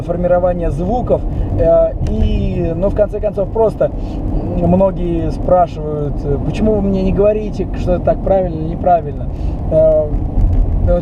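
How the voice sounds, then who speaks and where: Russian man interviewing, higher-pitched, noisy recording. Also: highs cut off. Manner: halting, hesitant phrasing. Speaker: interviewer introducing an accent coach. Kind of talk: informal promotional interview.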